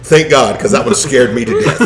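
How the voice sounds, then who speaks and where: Animated man swapping wry anecdotes. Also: medium pitch, heavy background noise. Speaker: podcast guest. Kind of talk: casual anecdotal chat.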